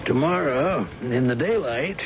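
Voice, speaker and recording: Patient detective, noisy radio drama. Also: male voice, mid-range voice, animated. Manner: deliberate, explanatory. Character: measured, patient senior police detective. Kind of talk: 1970s radio drama acting. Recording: dull, noisy old radio broadcast.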